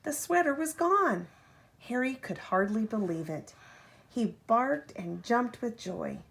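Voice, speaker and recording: A woman reading a children's picture book aloud like a parent at bedtime, with expressive, deliberate phrasing and drawn-out key words. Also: a little high. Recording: home recording with faint background noise.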